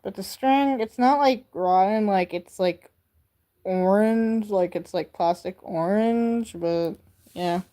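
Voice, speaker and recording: A teenage girl hosting a casual livestream. She talks slowly and rambles through her sentences, padding them with fillers as she holds up crafts and speaks straight to her viewers. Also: medium pitch, warm tone. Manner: rambling, filler-heavy with many "like" and "um". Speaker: livestream host. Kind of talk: casual livestream chat showing handmade crafts.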